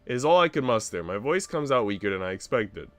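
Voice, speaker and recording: A man's voice: mid-range voice, very animated, fast talker, a bit bright, no room sound, soft background music. A man streaming a visual novel, reading its narration aloud expressively with casual commentary.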